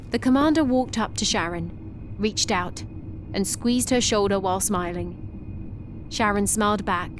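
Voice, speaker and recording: A woman giving even, measured scripted narration for an animated sci-fi story. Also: mid-range voice, slight background noise.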